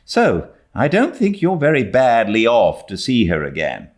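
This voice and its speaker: A man's voice: medium pitch, wildly swooping pitch, unhurried, pitched above the performer's own voice. A man voicing a stern, sarcastic older woman who scolds curtly in a storybook reading. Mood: scolding. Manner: curt, scolding, emphatic.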